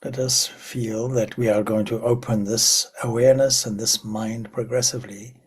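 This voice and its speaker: A man leading a guided meditation in a slow, prayerful way, letting phrases trail off into soft whispers. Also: medium pitch, steady tone, slightly rough. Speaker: meditation teacher.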